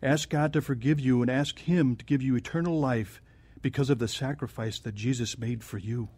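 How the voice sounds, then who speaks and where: An actor playing a kindly, earnest pastor counseling from scripture in a radio drama. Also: male voice, mid-range voice, steady tone, normal speed, a little mellow, breathy edge, no echo, lightly acted. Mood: reassuring, earnest.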